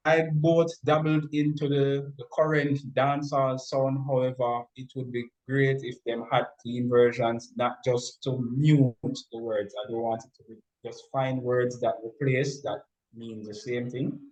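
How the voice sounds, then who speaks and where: A man with a Jamaican accent talking as an interview guest, giving advice in a halting way with repeated words. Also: mid-range voice, flat delivery, darkish tone, poor audio. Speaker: guest, music studio professional.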